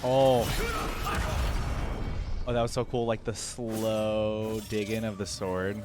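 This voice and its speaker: A man's voice: lowish voice, level tone, takes it slowly, noisy background. A man hosting a reaction video, commenting casually in informal, slangy fan talk.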